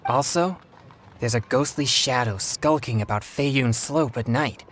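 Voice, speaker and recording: An actor playing a polite, earnest young male exorcist in a video game, speaking in formal, courteous, measured phrases. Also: medium pitch, fairly bright tone, slight background noise, close to an everyday voice. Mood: earnest, pondering. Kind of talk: scripted video game dialogue.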